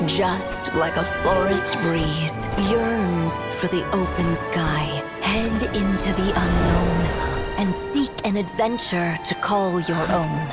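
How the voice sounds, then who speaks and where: A loving mother figure saying a solemn farewell to her child in a game cutscene. She speaks very slowly in a lowish, soft voice. A loud musical score plays behind her.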